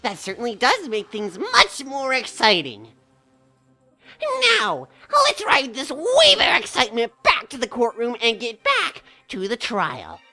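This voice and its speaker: A woman's voice: fairly high pitch, huge pitch swings, unhurried, bright, forceful tone, soft background music, full character voice. A woman voicing a gleeful, mischievous mascot in an anime game fan dub, theatrical and mock-polite. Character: gleeful mischievous mascot running a deadly trial.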